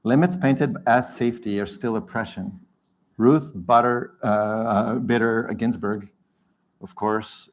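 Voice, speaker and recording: Male panelist, lowish voice, earnest prepared debate statement.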